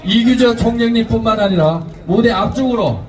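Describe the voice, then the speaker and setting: A man emceeing an outdoor protest rally, making formal announcements and shouting cues to the crowd. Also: sits high, normal speed. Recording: distant PA voice with loud crowd and music.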